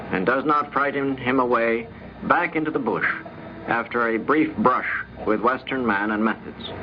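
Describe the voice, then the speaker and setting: Formal man reading, dull hissy radio recording. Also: mid-range voice. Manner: formal, deliberate. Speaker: diplomat giving a recorded account. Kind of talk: scripted, read-aloud reflective account.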